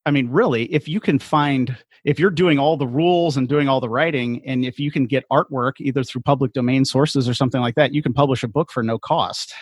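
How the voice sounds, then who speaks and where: A man's voice: medium pitch, fairly quick, few pauses, husky, no room sound, clean studio sound. A man hosting a podcast in casual interview chat, agreeable and prone to chuckling. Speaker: podcast host, self-publishing game designer.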